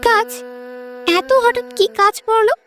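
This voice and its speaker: A woman playing a sweet, shy young village woman in love in an audio romance drama, soft and pleading. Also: extremely high pitch, fairly even intonation, a little slow, soft background music. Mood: puzzled, anxious.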